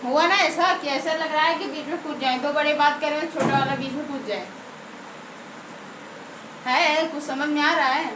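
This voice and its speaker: High-pitched Indian woman teaching, echoey and distant. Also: fairly even intonation, moderate pace, long pauses. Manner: repeats points and checks understanding with questions. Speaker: English grammar teacher. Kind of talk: informal spoken lesson, explaining step by step. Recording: roomy echo, distant mic, clear background noise.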